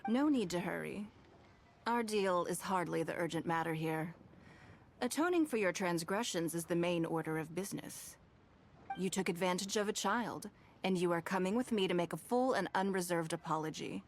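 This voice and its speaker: A woman's voice: on the low side, a little hiss or hum, natural voice. A woman voicing a shrewd, poised noblewoman in an anime game, composed and formal, firm but kindly. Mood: stern, cool. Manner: composed, formal, measured authority. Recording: music behind the voice.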